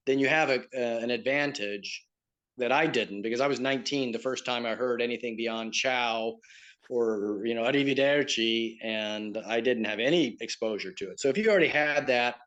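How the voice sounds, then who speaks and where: A man with a slight Southern American accent, explaining things in a relaxed interview with lots of fillers. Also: mid-range voice, lo-fi sound. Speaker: pilgrimage tour operator and guest. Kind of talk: conversational interview.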